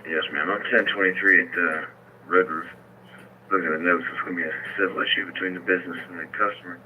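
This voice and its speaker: Tinny, quick, low-voiced male police officer. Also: steady tone, a little hiss or hum. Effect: two-way police radio. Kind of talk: clipped police radio traffic. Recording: tinny narrowband police scanner feed.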